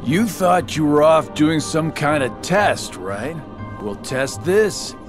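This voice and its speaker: A sly, mocking villain with a bouncy voice. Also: male voice, a little high, animated, slow. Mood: taunting. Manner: taunting, sarcastic, drawling. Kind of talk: video game cutscene acting. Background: game soundtrack music.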